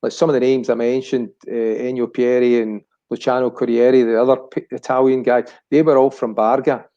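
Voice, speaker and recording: A man's voice: medium pitch, flat delivery, fairly quick, mellow, dull audio. A Scottish man of about sixty reminiscing casually as a chat show guest, rambling and searching for words. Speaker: chat show guest, family business director.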